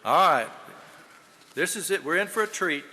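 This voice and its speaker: A man's voice: fairly high pitch, lively intonation, normal speed, noisy background. A man hosting a memorial gathering, speaking informally and telling anecdotes to people in a large hall. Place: large indoor hall before an audience. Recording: echoey hall with long reverb.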